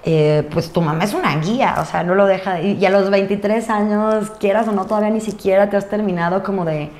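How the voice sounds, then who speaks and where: A Mexican woman in her thirties chatting casually as an interview guest, informal, with drawn-out words and pauses. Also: medium pitch, steady tone, a little mellow. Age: 30s. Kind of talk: casual chat. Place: indoor studio set at a desk. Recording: roomy sound, noticeable background noise.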